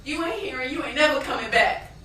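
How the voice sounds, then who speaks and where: Stage drama: actress playing a grieving daughter, very high-pitched, pleading and drawn-out, captured from afar in a strongly echoing space. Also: female voice, pushed and bright. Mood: anguished, pleading. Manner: emotive, pleading, drawn-out delivery. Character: grieving, despairing young woman pleading for her lost mother. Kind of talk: stage play monologue breaking into gospel-blues song. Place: stage in a theatre. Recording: echoey stage, distant mic, faint noise.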